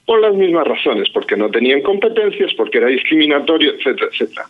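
A man's voice: fairly high pitch, sing-song, fast. A man from Spain speaking as a guest in a radio interview by phone, with many fillers and restarts. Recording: tinny phone line.

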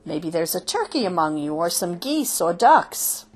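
An earnest old rabbi, put on by a woman narrator coaxes and reasons with a gentle, pleading lilt. His lines rise high and fall low. The tone is a little breathy. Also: sing-song, measured pace, faint music behind, exaggerated voice. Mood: persuasive. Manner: pleading, coaxing, rises and falls. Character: earnest old male rabbi, patient leader.

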